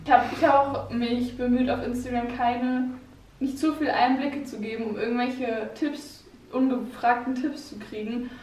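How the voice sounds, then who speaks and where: A young woman vlogging with a cold, chatty and animated, often laughing. Her voice sits a little high and comes from a few steps off the camera. The bare, freshly painted room gives it a clear echo. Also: normal speed, recorded from a distance, slight background noise. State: has a cold. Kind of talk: casual vlog chat. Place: bright empty room in a house, indoors. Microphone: camera mic from a few steps away. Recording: echoey bare room, off-mic.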